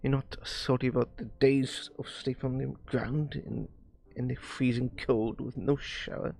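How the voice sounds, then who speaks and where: Halting, gravelly man reading slowly aloud. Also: mid-range voice, fairly even intonation, a little mellow. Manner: halting, stumbles over words and re-reads lines. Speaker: streamer reading a visual novel aloud. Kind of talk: live read-aloud of game dialogue.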